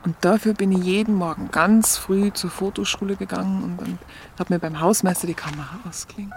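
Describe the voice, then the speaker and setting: An actress playing a Munich woman with a Bavarian accent, candidly recalling her wartime youth in a colloquial, halting way for a radio play. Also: mid-range voice, fairly even intonation, background noise, lightly acted. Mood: reflective. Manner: colloquial, confiding, halting recollection. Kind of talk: first-person radio play narration.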